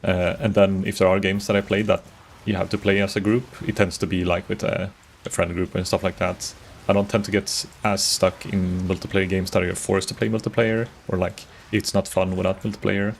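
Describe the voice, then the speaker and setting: A man's voice: sits low, flat delivery, fairly quick, vocal fry, slight background noise. A Swedish man streaming a game, chatting casually and rambling to viewers. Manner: rambling, chatty, greets viewers, frequent restarts. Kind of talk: casual live-stream chat while gaming.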